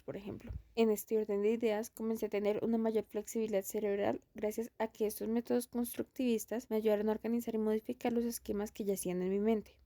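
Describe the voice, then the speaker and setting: A woman reading a first-person account aloud for a student project, at a steady, even pace. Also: on the high side, no room sound. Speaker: student presenter.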